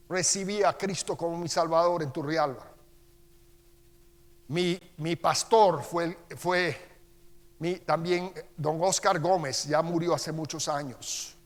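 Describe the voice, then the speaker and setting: A middle-aged man with a Costa Rican accent preaching a sermon as pastor. He reads Scripture slowly and with emphasis, then turns quieter as he tells personal stories. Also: fairly high pitch. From Costa Rica. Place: church sanctuary. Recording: long church-hall reverb.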